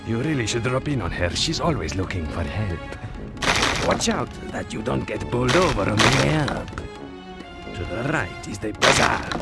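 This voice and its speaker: An actor playing a grandiose guide welcoming strangers to an ancient city in a cartoon game. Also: male voice, lowish voice, vocal fry, exaggerated voice.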